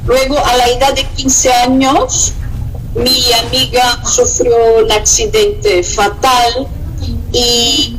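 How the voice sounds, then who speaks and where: Woman, Brazilian Portuguese accent, middle-aged, slightly high voice, very slow, reflective, faint background noise. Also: a bit bright, poor audio. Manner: slow and reflective, listing works with many pauses. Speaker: writer. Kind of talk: interview answers.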